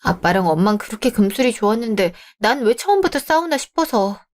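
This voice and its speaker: A woman voicing a young bride-to-be in a radio drama, polite and measured but firm. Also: a little high, big pitch swings. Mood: troubled.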